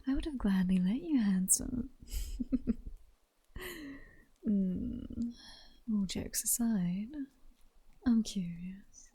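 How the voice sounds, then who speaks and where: A woman playing a seductive, mocking vampire queen in an ASMR roleplay, with a British accent, speaking in a breathy, teasing, commanding whisper. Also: medium pitch, mellow, exaggerated voice. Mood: amused, mocking. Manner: slow, teasing, sultry and commanding. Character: seductive, mocking vampire queen toying with her captured hunter.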